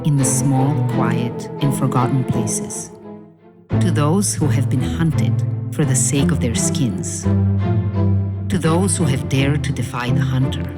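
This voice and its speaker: A woman narrating a fairy-tale audio play in a measured, solemn storytelling manner. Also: rumbling low. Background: music.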